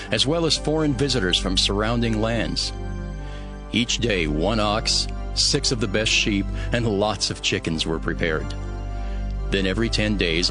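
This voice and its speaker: Low-voiced, earnest ancient governor. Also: male voice. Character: steadfast, devout male governor of ancient Jerusalem telling his story. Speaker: first-person narrator. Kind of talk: dramatized scripted narration.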